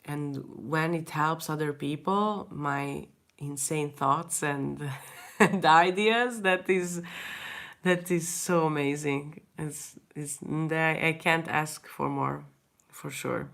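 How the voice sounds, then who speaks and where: A young woman with a Hungarian accent, speaking English as a second language, chatting casually with viewers in a livestream Q&A, hesitant, with many restarts and soft laughs. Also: deep voice, slow, creaky. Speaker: artist answering viewer questions.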